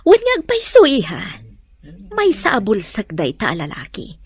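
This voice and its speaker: A woman's voice: medium pitch, huge pitch swings, a little slow. A woman playing a caring, nudging middle-aged mother in an Ilocano radio-style drama, with a coaxing manner and an Ilocano Filipino accent.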